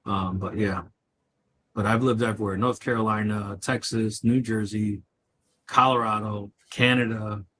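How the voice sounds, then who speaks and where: A man's voice: on the low side, fairly even intonation, moderate pace, sharp tone, a little hiss or hum. A man from Chicago co-hosting a casual live chat, telling personal stories with frequent laughs. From Chicago, USA. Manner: storytelling, chatty, laughs often. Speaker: co-host.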